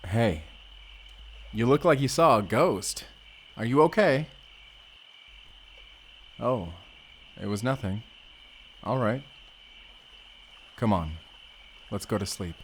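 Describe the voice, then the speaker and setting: A man's voice: mid-range voice, expressive, slow, long pauses, slight background noise. A man playing a young Spanish vampire slayer, a teasing and tender protector speaking intimately in an audio roleplay. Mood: concerned. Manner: speaks closely to one listener, teasing and soothing.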